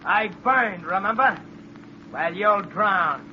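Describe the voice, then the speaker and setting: A high-voiced, vengeful killer. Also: male voice, expressive, slow. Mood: gloating menace. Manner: taunting, menacing. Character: vengeful male killer back from the grave, menacing, taunting. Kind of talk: 1930s radio acting. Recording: muffled, noisy 1930s radio recording.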